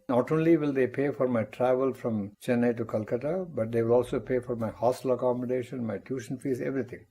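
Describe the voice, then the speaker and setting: Older man, mellow and husky. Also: medium pitch. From Tamil Nadu, India. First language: Tamil. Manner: measured, reflective recounting with wry asides. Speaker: guest professor. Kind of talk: personal storytelling interview.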